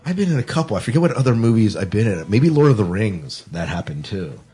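A man's voice: lowish voice, big pitch swings, fast talker, music in the background. A man hosting a casual film discussion, repeating words as he steers the conversation along. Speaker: podcast host.

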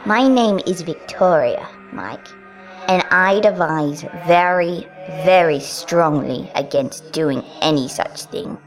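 A man voicing a calm, knowing little girl who seems to be a ghost, speaking softly and gently scolding. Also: on the high side, slow pace, soft background music, voice pushed up.

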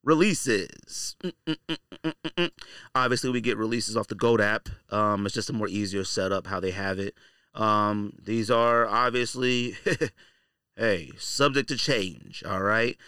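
A man's voice: mid-range voice, normal speed, pushed and bright, clear, resonant tone, no room sound, clean studio sound. A man hosting a casual, off-the-cuff podcast monologue, talking in a slangy, blunt, conversational way. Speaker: sneaker podcast host.

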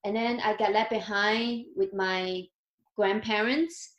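A woman's voice: medium pitch, fairly even intonation, slow pace, highs cut off. Earnest woman with a Chinese accent, echoey call. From China. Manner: earnest and grateful, gentle, small grammar slips. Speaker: guest speaker. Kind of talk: interview, telling her life story. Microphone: video call.

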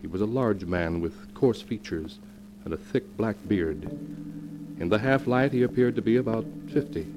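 A man as a low-voiced, grave suspense narrator. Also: moderate pace, noisy background, close to an everyday voice. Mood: ominous. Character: solemn male narrator of a suspense tale. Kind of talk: scripted dramatic narration, 1940s radio. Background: dramatic music.

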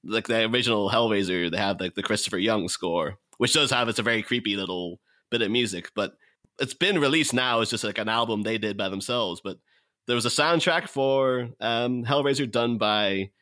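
A podcast guest, this man has a fairly low voice with a bright, forceful edge. He chats casually about films, explaining as he goes in loose, rambling turns. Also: normal speed. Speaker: podcast guest, co-host of a film podcast. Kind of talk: casual film discussion.

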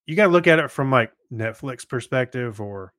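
A man co-hosting a podcast, chatting casually and haltingly as he thinks aloud. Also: medium pitch, lively intonation, fairly bright tone, no echo, clean studio sound. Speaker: podcast co-host. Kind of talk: casual chat.

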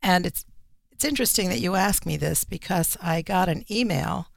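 Very gravelly-voiced woman in conversation. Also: lowish voice, animated, normal speed, dry recording, clean studio sound. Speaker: magazine editor in chief, interview guest. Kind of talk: conversational interview commentary on religious issues.

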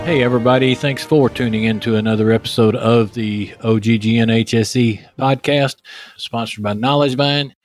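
A man's voice: mid-range voice, fairly even intonation, moderate pace, over music. A man with a Texan accent speaking as a podcast host in a casual, folksy manner. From Texas, United States. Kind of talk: conversational interview with scripted sponsor read.

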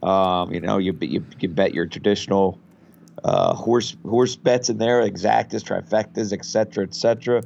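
A man from a betting site's marketing side, guesting on a podcast, hoarse from a head cold and talking in a relaxed, rambling way. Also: on the low side, normal speed, highs cut off, a little hiss or hum. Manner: relaxed, rambling, many fillers. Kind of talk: casual podcast interview.

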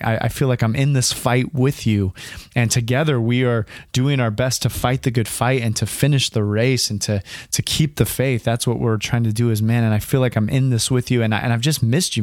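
Man in his 30s, raspy from a cold, solo podcast host, loose and chatty, dry close sound. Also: medium pitch, no room sound. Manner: casual, rambling, many fillers and "dude", "man". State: hoarse from a cold. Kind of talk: casual solo podcast talk. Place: alone in a room at home. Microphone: home recording at a computer.

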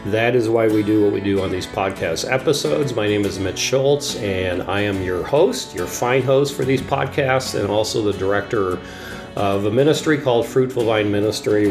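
A man in his sixties hosts a podcast conversation, his voice sitting on the lower side. He talks in a relaxed, meandering way, full of uh's and restarts. A little guitar music plays faintly behind him. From Papua, Indonesia. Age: 60s. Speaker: podcast host. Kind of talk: casual interview chat.

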